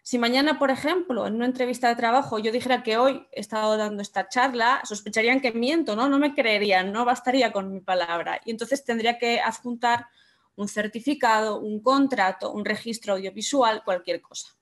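High-voiced young woman giving an online talk. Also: brisk. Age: young adult. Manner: conversational, asks rhetorical questions. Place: home room, webcam.

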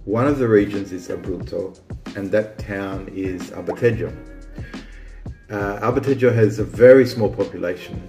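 Aussie guy chatting, kinda low voice, lots of ums and restarts, echoey room w faint music. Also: moderate pace, mellow. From Australia. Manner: rambling, many restarts and ums. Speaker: vlog host. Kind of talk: casual chat.

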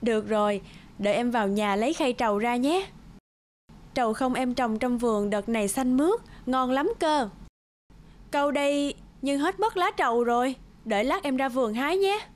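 A woman playing a gentle young village woman in a cartoon folktale, earnest, loving and emotional. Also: sits high, normal speed, natural voice. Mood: tender. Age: young adult. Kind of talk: animated folktale acting.

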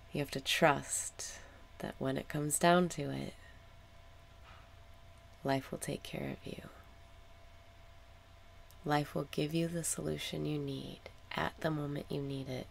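A woman leading a guided meditation in a calm, soothing manner, with soft, sometimes breathy phrasing and deliberate pauses. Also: deep voice. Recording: background noise with ambient sound.

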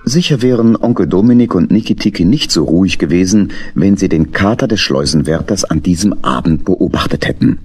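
Deep-voiced male storyteller. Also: animated, a little fast, few pauses, a little mellow, breathy edge, soft background music. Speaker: narrator. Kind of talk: scripted children's story narration.

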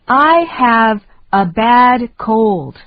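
A woman reading short phrases slowly and deliberately for learners to repeat. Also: on the high side, lively intonation, no room sound, phone-quality sound. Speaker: English lesson reader.

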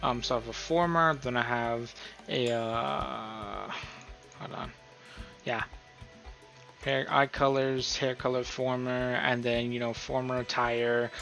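A man hosts an informal how-to in a bright, forceful voice at a medium pitch. He rambles and thinks aloud as he explains. Music plays faintly behind him. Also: fairly even intonation, slow pace, gravelly. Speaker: video tutorial host. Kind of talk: casual tutorial commentary, explaining a role-play character profile.

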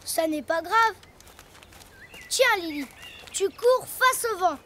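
An actor playing an excitable, imaginative little boy donkey in a preschool cartoon, childlike and eager. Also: extremely high pitch, slow pace, slight background noise, exaggerated voice. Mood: excited.

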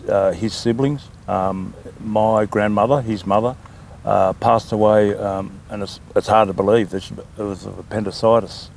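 An older Australian man with a low, gravelly voice, talking at length and easily, often pausing on an um. He speaks in a relaxed, conversational interview. A faint hiss of outdoor background noise sits behind him. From New South Wales, Australia. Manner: talkative, unhurried, with frequent ums. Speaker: land council chairman, interview guest. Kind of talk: casual interview.

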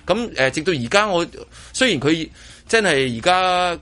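A man's voice: high-pitched, expressive, moderate pace, sharp tone. A middle-aged man with a Hong Kong accent chatting casually as a radio host, rambling and repeating his phrases as he goes. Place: radio studio.

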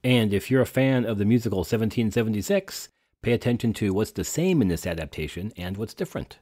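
A man's voice: fairly low pitch, animated, fast, darkish tone, no echo, studio quality. A man hosting a podcast, reading a scripted introduction. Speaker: podcast host.

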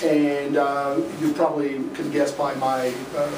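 A man's voice: mid-range voice, a little slow, recorded from a distance. A middle-aged man with a Midwestern accent, a swim coach giving a live talk to an audience in a dim room, talking energetically with fillers. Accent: Midwestern American. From American Midwest. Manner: energetic, gesturing, conversational with fillers. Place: dim indoor event room, in front of a projection screen. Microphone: room camera from the audience, no mic seen. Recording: echoey room, voice from afar, faint noise.

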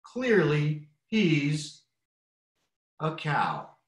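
A man doing a squeaky, panicky tiny hen. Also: sing-song, full character voice. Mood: admiring. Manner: high, drawn-out and squeaky. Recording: roomy echo.

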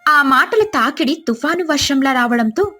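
A woman's voice: sits high, lively intonation, normal speed, sharp tone, soft background music. A woman storyteller reading scripted narration of a moral tale.

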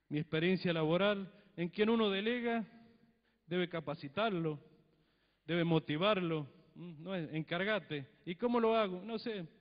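A middle-aged man with a Cuyo Argentine accent preaching a sermon on a handheld mic in a church hall, chatty, with frequent tag questions. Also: on the high side. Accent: Cuyo Argentine Spanish. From Mendoza, Argentina. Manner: conversational preaching, tag questions, acts out little dialogues. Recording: dull lo-fi sound, long hall reverb.

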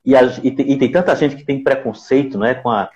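A man in his forties with a Recife accent hosts a live online conversation. He speaks didactically at a middle pitch and repeats phrases to make his point. He is on an earphone mic in a room at home. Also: fairly quick, a little mellow, lo-fi sound. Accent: Recife, northeastern Brazilian. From Pernambuco, Brazil. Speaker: live stream host. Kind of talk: conversational interviewing on a live stream. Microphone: earphone mic on a video call.

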